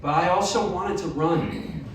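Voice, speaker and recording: Guy reading a story out loud at a live event, earnest, mic kinda far off. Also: high-pitched, measured pace, crowd noise. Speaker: story reader. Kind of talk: live reading of a personal essay. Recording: echoey room, distant mic.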